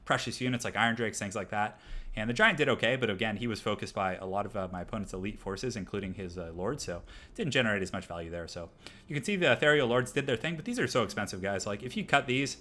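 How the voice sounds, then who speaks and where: A man with a voice hoarse from a cold, casually commentating a replay of a strategy game for viewers. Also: on the low side, animated, quick pace, sharp tone. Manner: casual, chatty, addresses viewers as guys. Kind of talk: gameplay commentary.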